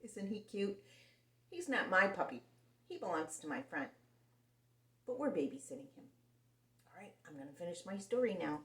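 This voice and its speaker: A woman reading a picture book aloud to young children in an animated, expressive way. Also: fairly low pitch, moderate pace, pauses often, recorded from a distance. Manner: animated and expressive, voicing characters. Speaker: children's story reader. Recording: roomy sound, set back from the mic.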